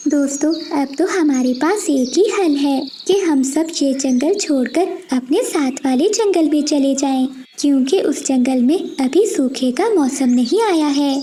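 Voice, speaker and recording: A woman's voice: high-pitched, expressive, a little slow, hardly any pauses, mellow, a little hiss or hum. A woman voicing a kind little female sparrow, earnest and pleading, in a children's cartoon. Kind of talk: animated children's moral story dialogue.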